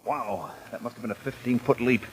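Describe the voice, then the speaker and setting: An actor playing an alert police sidekick giving clipped, urgent reports in an old radio crime drama. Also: male voice, medium pitch, a little fast, husky, faint music behind, natural voice, rough recording. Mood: urgent, excited. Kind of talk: 1940s-50s radio crime drama acting.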